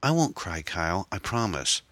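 A man voicing a frightened young woman held hostage, pleading in a soft, breathy manner. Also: low-pitched, lively intonation, normal speed, no room sound, voice pushed up, heavily acted.

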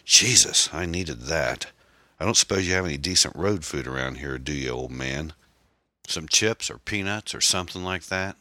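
A man's voice: a bass voice, lively intonation, normal speed, pushed and bright, no echo, heavily acted. A man voicing a menacing, jealous gunman, a foul-mouthed ex-boyfriend who taunts and threatens in a folksy way. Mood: sardonic.

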